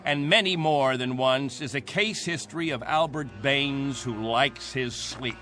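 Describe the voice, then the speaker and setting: A man acting in a radio drama as a stern, commanding captain, speaking with measured, sardonic authority. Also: medium pitch, sharp tone. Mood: stern reproach. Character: stern, commanding male captain of a stranded settlement.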